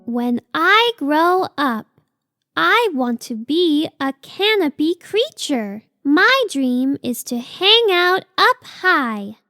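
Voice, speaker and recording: A woman's voice: high-pitched, sing-song, slow, pushed and bright, no room sound, heavily acted. A woman voicing a cute, earnest young female sloth baby in a children's picture book read-aloud, with simple, childlike phrasing. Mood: dreamy, earnest.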